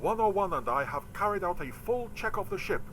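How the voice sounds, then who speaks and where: A man's voice: sits high, lively intonation, fairly quick, a bit bright, slight background noise, pitched above the performer's own voice, low-quality recording. A man voicing a solemn, honour-bound space station lieutenant, formal and grave, in an audio drama. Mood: solemn, resigned.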